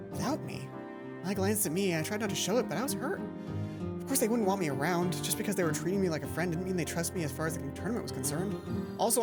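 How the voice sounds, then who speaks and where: A woman let's play host reading a visual novel aloud in an expressive storytelling way, with casual asides. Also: lowish voice. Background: game music.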